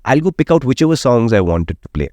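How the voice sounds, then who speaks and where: A man's voice: medium pitch, very animated, fast, a little mellow, dry recording, studio quality. A radio jockey, explaining his views at length in an interview and often checking in with "right?". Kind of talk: opinionated interview conversation.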